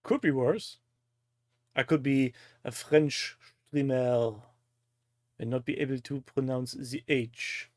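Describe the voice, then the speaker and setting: A man's voice: medium pitch, takes it slowly, pauses often, dry recording, clean studio sound. A man from Germany with a German accent, a native German speaker, hosting a casual live-stream chat in a jokey, teasing manner. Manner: jokey, crude humour, teasing.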